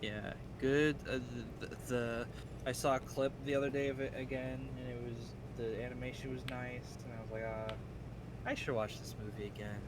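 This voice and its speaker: American guy w faint midwest accent rambling on a game stream, medium pitch, pretty noisy background. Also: flat delivery, normal speed. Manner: rambling, self-interrupting. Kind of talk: casual chat during a game stream.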